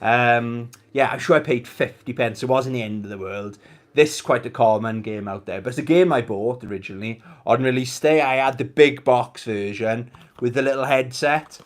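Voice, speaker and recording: A man's voice: mid-range voice, moderate pace. A man with a Welsh accent hosting a casual gaming live stream, chatting in a rambling, jokey way to his viewers. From Wales.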